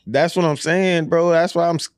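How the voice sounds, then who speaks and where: A young adult American man co-hosting a podcast, bantering casually in animated, profane slang. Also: fairly high pitch, moderate pace, clean tone, dry recording, studio quality. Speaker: podcast co-host.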